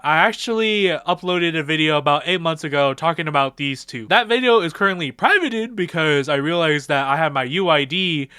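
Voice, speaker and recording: A man's voice: on the high side, bright, forceful tone, clear, resonant tone, no room sound, studio quality. A man hosting his own channel, rambling through casual, slangy fan commentary and acting out bits of imagined dialogue. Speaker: video creator and channel host.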